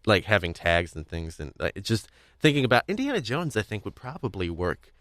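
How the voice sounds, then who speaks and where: A man's voice: on the low side, sing-song, a little fast, a bit bright, no room sound. A man hosting a podcast in casual conversation, speaking in a hesitant, rambling, stop-start way. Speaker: podcast host. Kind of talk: casual discussion of audio drama.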